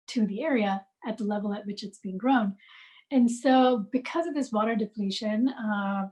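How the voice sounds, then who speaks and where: A woman in her forties from India, a guest in an interview, telling stories in a thoughtful, unhurried way. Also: medium pitch, a little mellow, low-quality recording. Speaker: guest, archive founder. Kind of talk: interview answers, reminiscing.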